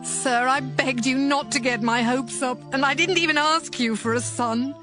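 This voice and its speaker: Actress as high-voiced, earnest woman of ancient Israel. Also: moderate pace, music in the background, close to an everyday voice. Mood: anguished, resolute. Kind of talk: dramatized scripture audiobook acting.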